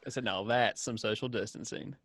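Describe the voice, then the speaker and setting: A man's voice: fairly low pitch, brisk, a bit bright, no echo. An American man joking casually in a group chat on a live podcast. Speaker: voice actor guest on a live podcast.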